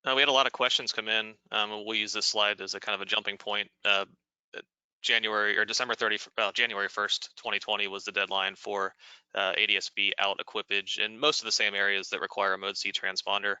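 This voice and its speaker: Very bright, crisp-voiced man moderating. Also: lowish voice, flat delivery, a little fast, no room sound, low-quality recording. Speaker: webinar host, moderator. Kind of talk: informal question-and-answer moderating.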